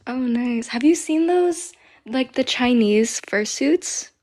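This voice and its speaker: A woman's voice: high-pitched, animated, slow pace, darkish tone. A woman in her twenties being interviewed, hesitant and searching for her words. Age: 20s. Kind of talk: casual chat.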